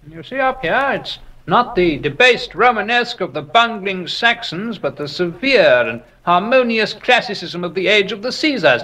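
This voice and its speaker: An actor playing a curious, skeptical gentleman antiquarian in a horror audio drama, erudite and exclamatory, musing aloud as he inspects things. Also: high-pitched, full character voice. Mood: eager, erudite.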